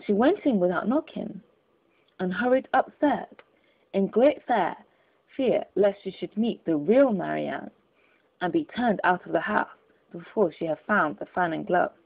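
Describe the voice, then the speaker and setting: Storybook reader, a woman, lively intonation, tinny phone-like audio. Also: medium pitch, a little slow, vocal fry, breathy edge. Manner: expressive, careful reading. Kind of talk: storybook narration.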